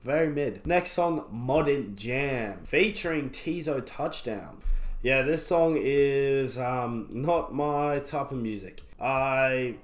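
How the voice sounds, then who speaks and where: Croaky young man, rambling casual music review, echoey room. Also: medium pitch, takes it slowly. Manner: rambling, candid, lots of fillers. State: croaky voice after illness. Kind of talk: casual album reaction review. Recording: roomy echo.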